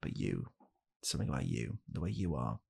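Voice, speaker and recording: Man playing a very deep, deadpan, gravelly dad. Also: exaggerated voice. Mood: deadpan, bleak. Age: young adult. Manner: soft-spoken, halting, deadpan. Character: blunt, callous father calmly blaming his child. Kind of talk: ASMR comedy roleplay. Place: small home room, indoors.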